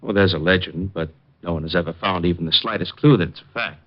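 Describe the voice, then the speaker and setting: An actor playing a calm, dismissive doctor with a matter-of-fact manner in a 1950s radio drama, on a muffled old recording. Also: male voice, low voice, fast.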